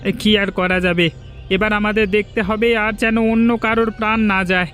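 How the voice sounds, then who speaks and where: A man's voice: sits high, fairly even intonation, a little fast, fairly bright tone, soft background music. A man playing a blunt, defiant village trader in a folk-tale cartoon. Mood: resolute. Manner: blunt, resolute. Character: defiant village market trader rallying others.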